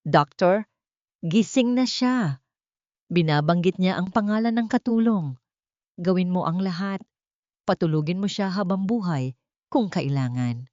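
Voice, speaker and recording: A woman's voice: lowish voice, expressive, measured pace, long pauses, a little mellow, no echo. A ruthless young schemer on the edge of panic, played by a woman narrator. She speaks urgently in an audiobook drama, with very dry, slightly lo-fi sound.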